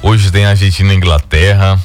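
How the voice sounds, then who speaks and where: A man's voice: sits low, a little fast, faint music behind. A Brazilian man hosting a sports radio show, chatting casually and with a lot of slang. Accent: Brazilian Portuguese. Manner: relaxed, slangy banter with frequent fillers.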